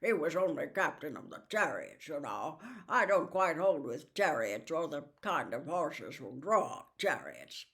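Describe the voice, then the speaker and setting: A woman's voice: deep voice, sing-song, unhurried, creaky, few pauses, fairly bright tone, pitched below the performer's own voice, full character voice. A woman voicing a proud, condescending male talking warhorse in a storybook, with a pompous, lofty manner. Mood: lofty, boastful.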